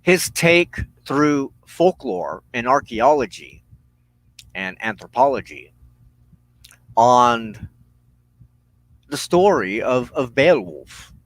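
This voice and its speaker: A man hosting a spiritual broadcast, giving a reflective, unscripted monologue in an earnest, halting way with emphatic phrasing and pauses. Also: medium pitch, a bit bright, no echo, rough recording. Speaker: host of a weekly spiritual broadcast.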